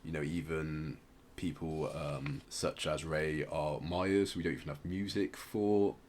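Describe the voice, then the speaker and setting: A man with a very deep voice hosts a casual music podcast. He explains things in a hesitant, roundabout way, over noticeable noise. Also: fairly even intonation, a little slow, darkish tone. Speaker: podcast host.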